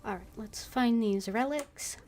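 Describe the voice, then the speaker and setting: A woman's voice: on the high side, measured pace, mellow, soft background music. A woman giving casual commentary while playing a game. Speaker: game streamer.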